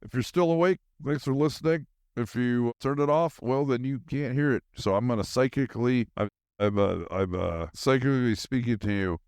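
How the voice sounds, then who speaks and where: A man's voice: mid-range voice, normal speed, darkish tone, smooth, no echo, studio quality. A man hosting a solo podcast in a casual, rambling monologue, with a goofy manner and silly asides.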